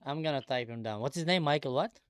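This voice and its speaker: A man's voice: mid-range voice, quick pace, clear, resonant tone, dry recording, studio quality. A man born in Israel with Arabic as his first language, hosting a live video-chat debate stream and coolly pressing strangers with pointed, sarcastic questions. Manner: probing, calmly sarcastic questioner. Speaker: livestream host.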